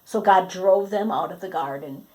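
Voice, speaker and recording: Expressive woman reading a children's storybook. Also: fairly low pitch, moderate pace, poor audio. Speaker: storybook reader. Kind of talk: expressive read-aloud of a children's book.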